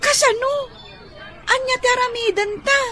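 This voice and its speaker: A woman's voice: very high voice, steady tone, normal speed, bright, forceful tone, some chatter behind, slight background noise. A woman playing an anxious, wide-eyed little girl in a radio drama.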